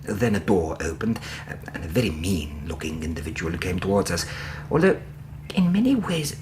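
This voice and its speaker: An actor playing an anxious, well-spoken Victorian gentleman in a radio drama, formal and precise in manner. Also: low voice, sing-song, normal speed, background noise. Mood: earnest recounting.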